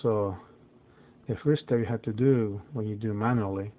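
A man whose first language is Spanish walks through a phone reset step by step, halting often with fillers and restarts. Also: fairly low pitch, slight background noise. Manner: halting, many fillers and restarts, non-native phrasing. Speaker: phone tutorial presenter. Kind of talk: casual how-to walkthrough. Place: dim indoor room, phone filmed in hand. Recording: tinny phone-like audio.

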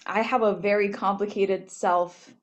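A woman's voice: mid-range voice, fairly even intonation, a little slow, lo-fi sound. A young woman in her twenties from Japan, talking thoughtfully in a small room on a laptop webcam, with many fillers and restarts. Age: 20s. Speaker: panel speaker, graduate student. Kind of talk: reflective personal talk on a video call. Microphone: laptop webcam, video call.

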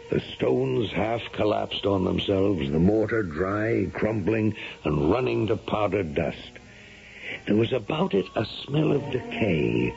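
An actor playing a solemn gentleman narrator, telling an ominous tale in dramatic radio style. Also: fairly low pitch, gravelly, heavily acted. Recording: lo-fi noisy vintage radio recording.

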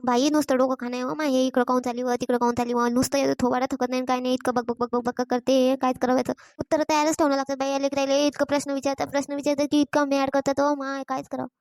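A woman's voice: high voice, level tone, fast, hardly any pauses, a little mellow, dry recording, studio quality. A woman voicing an exasperated, scolding village mother in a cartoon, with a rural Vidarbha Maharashtrian accent and a repetitive, complaining manner. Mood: fretting, nagging. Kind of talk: Marathi cartoon comedy voice acting.

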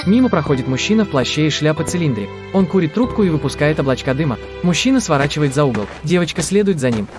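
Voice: mid-range voice, lively intonation, fast talker, few pauses. A narrator reading scripted audio description for a cartoon. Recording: music under the voice. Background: prominent soundtrack music.

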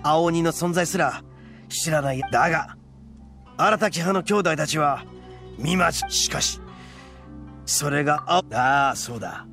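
Young horned oni boss, brash, proud, rough-spoken, blunt, raised male pitch, anime game, soft music behind. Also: a little slow, pauses often. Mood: solemn, explaining. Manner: rough, swaggering, blunt slangy speech.

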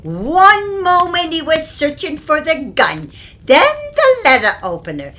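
A no-nonsense Victorian housekeeper in a radio play. Her voice is high and sharp, swooping dramatically as she fusses indignantly. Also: wildly swooping pitch, a little slow, exaggerated voice. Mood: fussy, gossipy. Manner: indignant, colourful turns of phrase. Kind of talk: period audio drama acting.

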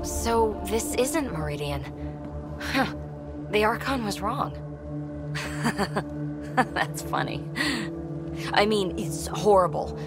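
A woman's voice: mid-range voice, expressive, drawn-out words, long pauses, over loud music. A woman playing a young, determined squad leader in a sci-fi video game, with an informal, wry, plain-spoken manner. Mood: wry disbelief. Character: young, determined, wry female space explorer leading a squad. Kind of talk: video game cutscene acting.

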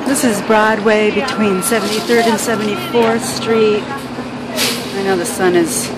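Slow chatty woman on a noisy street. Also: medium pitch, fairly even intonation. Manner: chatty, laughs often, slow unhurried commentary. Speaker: vlogger walking the city. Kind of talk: casual walking vlog narration. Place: outdoors on a busy city sidewalk. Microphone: handheld camera. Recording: loud street traffic noise.

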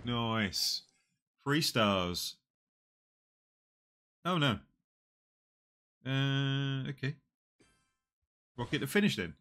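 A man in his forties with a slightly nasal voice from a cold, quietly talking through a mobile puzzle game on a headset. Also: mid-range voice, animated. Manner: slow, muttering play-by-play, often trailing off. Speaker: gaming channel host. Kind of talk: casual mobile game commentary. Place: small room indoors.

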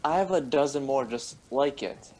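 An actor playing a polite, flustered gentleman doctor in a radio play, courteous and hesitant. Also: mid-range voice, moderate pace, natural voice. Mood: polite, uneasy.

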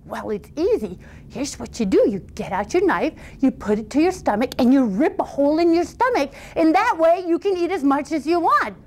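A woman voicing a small, quick-witted youngest brother in a fairy tale, cocky, nonchalant and bluffing. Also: sits high, very animated, darkish tone, pitched above the performer's own voice. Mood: sly, goading.

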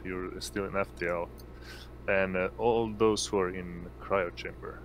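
A man running an online tabletop game as game master, speaking haltingly and hesitantly. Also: low-pitched, fairly even intonation, slight background noise. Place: indoors at home, online voice call.

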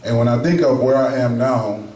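A middle-aged man preaching a sermon in a small chapel into a handheld microphone, emphatic and pausing often. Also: medium pitch, a little mellow, a little hiss or hum. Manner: slow, emphatic preaching with pauses. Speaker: lay preacher. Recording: echoey room, camera at a distance.

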